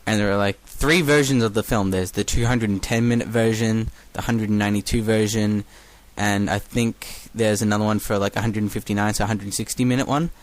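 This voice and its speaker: A young man from Australia with an accent softened toward American, leaving a casual, halting listener message. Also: lowish voice, fairly even intonation, no echo, rough recording. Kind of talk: casual recorded question.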